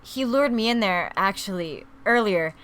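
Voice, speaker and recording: A woman's voice: mid-range voice, animated, normal speed, crowd noise. A woman co-hosting a let's play, chatting casually in a playful, gushing, jokey way. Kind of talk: casual gaming chat.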